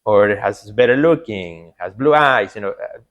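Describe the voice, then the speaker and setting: A man with a Mexican Spanish accent and a somewhat low voice, speaking English as a second language. He talks earnestly in a relaxed interview, circling back on his words with frequent "you know"s. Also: measured pace, highs cut off. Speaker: podcast guest, artist coach. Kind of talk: casual interview talk.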